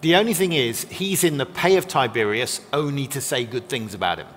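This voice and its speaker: A man being interviewed as a guest scholar, explaining history quickly and in a friendly way. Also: medium pitch, expressive, a bit bright. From not from Texas. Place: church classroom or hall. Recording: long hall reverb with faint noise.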